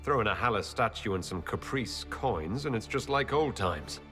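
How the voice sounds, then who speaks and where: Inquisitor, wry, animated pitch, music loud. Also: male voice, moderate pace. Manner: dry, wry. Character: dry-witted male leader, the Inquisitor. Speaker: player character. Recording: game cutscene with loud music.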